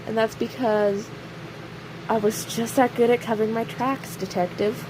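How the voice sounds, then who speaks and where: A woman playing a snarky, cocky imprisoned serial killer in an audio roleplay, sarcastic and teasing, with pointed pauses. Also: on the high side, close to an everyday voice. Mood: sardonic, smug. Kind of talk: audio roleplay acting, addressing an unheard listener. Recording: steady clear background hiss or hum.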